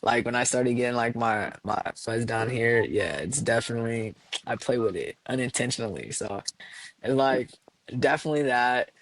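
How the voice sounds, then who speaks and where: A young man hosting a casual podcast chat, reading out questions and reacting with laughs and quick agreement. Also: mid-range voice, low-quality recording.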